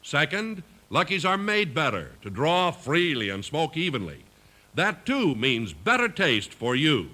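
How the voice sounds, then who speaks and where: A man's voice: on the high side, huge pitch swings, a little slow, pushed and bright. A man announcing and reading ads in an emphatic, polished 1950s radio style. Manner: emphatic, polished sales delivery. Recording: old 1950s radio recording, faint music.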